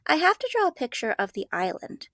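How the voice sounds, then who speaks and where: Woman voicing an earnest, curious little schoolgirl, creaky edge. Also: high voice, huge pitch swings, fairly quick, a bit bright, no room sound, studio quality, pitched above the performer's own voice, heavily acted.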